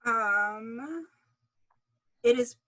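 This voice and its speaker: Giggly woman, high voice, talks slow and casual. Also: pushed and bright. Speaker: series creator being interviewed. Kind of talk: casual chat.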